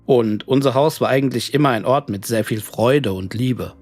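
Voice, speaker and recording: A man voicing a worried, sober widowed father in his forties, reading his formal, measured letter in a horror story. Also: mid-range voice, darkish tone, no echo, lightly acted. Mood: earnest, troubled. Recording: music bed under the voice.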